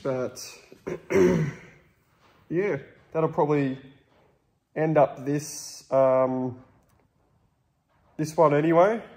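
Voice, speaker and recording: A man in his thirties with an Australian accent, hoarse from a cold, rambling casually with lots of ums while filming himself on a phone in a large metal-walled workshop. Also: on the high side, very slow, pauses often. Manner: rambling and relaxed, many ums. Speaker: vlogger. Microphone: phone held at arm's length.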